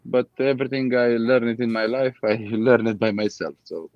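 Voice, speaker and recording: A man in his thirties from Romania with a Romanian accent, speaking English as a second language in a casual interview, halting and searching for words. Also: medium pitch, steady tone, no room sound, muffled recording. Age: 30s. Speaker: guest.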